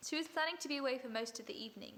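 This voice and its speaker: A woman playing a composed, sharp detective in an old-time radio drama, cool and in command. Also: high voice, rapid-fire, slight background noise.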